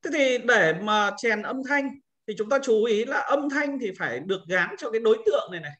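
A man's voice: extremely high pitch, expressive, fairly quick, fairly bright tone. A man giving an informal screen-recorded tutorial as an instructor, explaining things step by step and often repeating himself.

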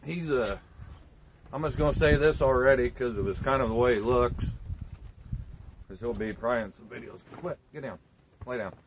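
A man's voice: mid-range voice, normal speed, pauses often, a little hiss or hum, rough recording. A man in his thirties chatting casually in a laid-back, rambling way, sitting outdoors on a sunny porch and filmed by a camera a few feet away. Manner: laid-back, rambling, plain country talk. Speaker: homesteading vlogger. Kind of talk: casual chat. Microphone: camera on a tripod a few feet away.

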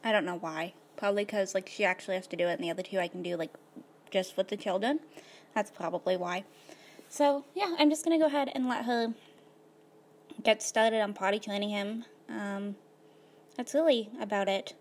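A woman of middle pitch talks her way through a life-simulation game in an easygoing, rambling manner, full of "um" and "like". Her voice is a little hoarse, as if she is losing it. She sounds close to a desktop mic. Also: brisk. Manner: rambling, filler-heavy, laid-back. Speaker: gaming video host. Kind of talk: casual gameplay commentary.

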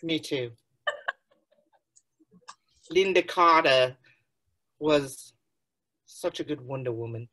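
A woman co-hosting a podcast, playing along in a put-on accent with slow, playful chat. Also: deep voice, a bit bright, rough recording. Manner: slow and playful, joining in the bit. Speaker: podcast co-host.

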